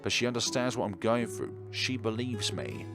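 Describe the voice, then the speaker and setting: A man's voice: on the low side, moderate pace, lightly acted. A man voicing a defensive, flustered teenage schoolboy protesting in a visual novel. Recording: music behind the voice.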